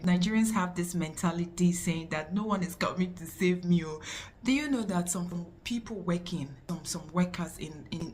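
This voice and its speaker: A woman with a fairly low voice joins the casual vlog conversation as co-host. She backs up her partner's points emphatically and then explains them at length in her own words. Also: a little mellow.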